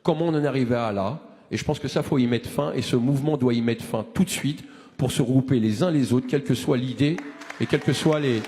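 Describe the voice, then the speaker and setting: A man's voice: mid-range voice, quick pace, a little mellow, long reverb tail. A man in his forties giving an impassioned, rousing address to a movement, full of restarts and rhetorical questions. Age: 40s. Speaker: activist speaker.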